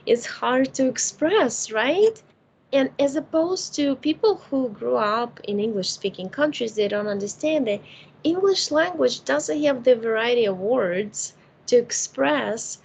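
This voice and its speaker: A woman's voice: a little high, vocal fry, darkish tone, breathy edge, rough recording. A woman with a Ukrainian accent, a native Russian and Ukrainian speaker, talking casually about spiritual matters. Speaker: spiritual healer and host.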